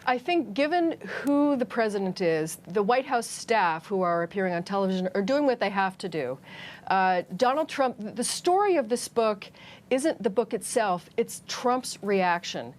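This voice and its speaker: A woman's voice: mid-range voice, lively intonation, normal speed. A woman giving live political analysis as a guest on a news panel.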